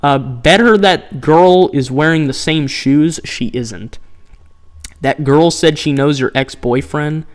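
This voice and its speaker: A man's voice: a little high, slow. A man live-streaming a dating game, reacting with sarcastic, incredulous commentary and reading options aloud.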